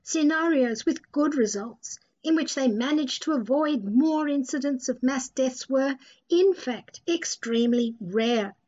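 A woman's voice: sits high, a little slow, a little mellow. A woman narrating and presenting a dramatised reading in a scripted storytelling style. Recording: dull, lo-fi voice recording.

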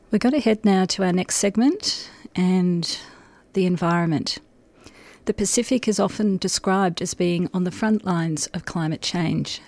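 Breakfast radio host, lowish voice. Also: female voice, a little mellow, no room sound. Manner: smooth, read-out segment introduction. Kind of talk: scripted radio link.

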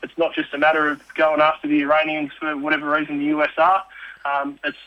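A man's voice: fairly high pitch, completely flat delivery, fast, dry recording, dull audio. A man with a blokey, rambling way of talking, giving an organiser's report on casual radio. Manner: blokey, rambling, many fillers.

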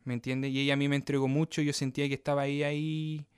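Chatty man in his thirties, smooth voice. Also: mid-range voice, flat delivery, quick pace, no echo, clean studio sound. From Australia, Chilean parents. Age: 30s. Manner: warm storytelling, often asks "¿me entiendes?". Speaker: cueca dancer guest. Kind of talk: interview. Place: Melbourne.

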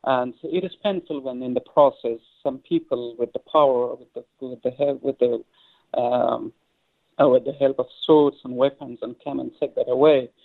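Halting man on a phone line. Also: medium pitch, steady tone, gravelly. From Afghanistan. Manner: hesitant, many fillers and restarts. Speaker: poet and interview guest. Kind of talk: halting interview answers.

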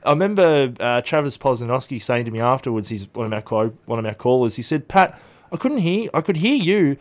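A man's voice: medium pitch, big pitch swings, brisk. A young Australian man in his twenties, a radio guest telling long stories with many ums and restarts in a studio interview. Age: 20s.

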